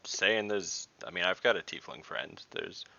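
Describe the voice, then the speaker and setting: A man playing a tabletop role-playing game on a video call, speaking casually in a gruff, sardonic way. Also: deep voice, lively intonation, normal speed, bright, forceful tone, lo-fi sound. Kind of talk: casual in-character role-play over online chat. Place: indoor room on a group video call.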